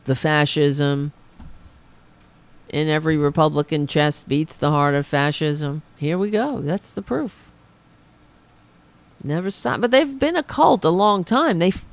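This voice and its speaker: A woman hosting a political talk livestream, hoarse after a long show, rambling and sarcastic as she talks to her chat. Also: deep voice, a little slow. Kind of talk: casual livestream commentary.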